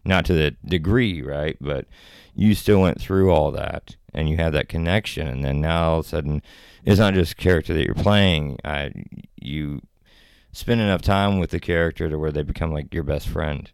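Deep, gravelly male guest with a laid-back, rambling delivery on a close, dry podcast mic. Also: moderate pace, hardly any pauses, darkish tone, dry recording. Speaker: actor and filmmaker guest. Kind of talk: casual podcast chat.

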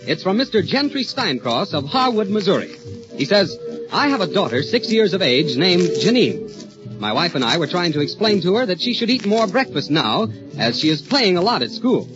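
High, animated American man on vintage radio. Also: normal speed. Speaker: radio announcer. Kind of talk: 1930s radio announcing with sponsor pitch. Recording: dull vintage 1930s radio, music behind. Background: music.

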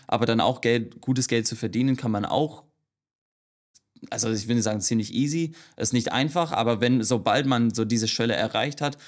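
Young male coach, guest chatting loosely in an interview; halting delivery, frequent restarts, average pitch. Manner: hesitant, many restarts and fillers, mixes in English words. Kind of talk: casual interview talk.

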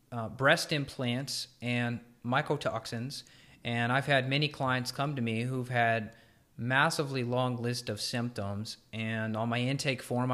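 A man's voice: mid-range voice, a bit bright. A man hosting a health podcast, chatting casually and asking questions with easygoing fillers. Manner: casual, with fillers like "you know". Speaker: podcast host. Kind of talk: conversational podcast interview.

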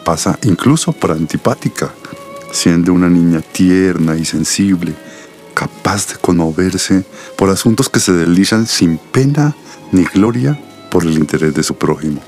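Deep-voiced, earnest Colombian doctor. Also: male voice, lively intonation, darkish tone, faint music behind. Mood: earnest, explanatory. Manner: measured, solemn, articulate. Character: earnest, confiding middle-aged doctor and protective father. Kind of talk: radio soap opera acting.

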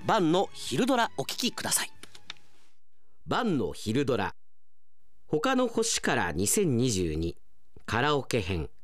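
A man's voice: mid-range voice, wildly swooping pitch, slow pace, long pauses, no room sound. A male radio announcer presenting a segment and narrating a scripted radio drama. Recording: music bed behind the voice.

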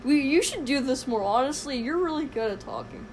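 Teen girl host, high-ish voice, rambling and excitable, faint background noise. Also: fairly quick. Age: teens. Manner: rambling, excitable, lots of fillers. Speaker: podcast host. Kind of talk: casual chat. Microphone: phone podcast app recording.

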